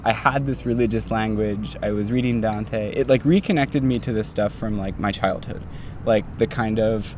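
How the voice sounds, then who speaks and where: A man's voice: fairly low pitch, normal speed, a little mellow, a little hiss or hum. A young man in his twenties, a doctoral student, telling his story in a casual, rambling way full of fillers like "like" and "um". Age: 20s.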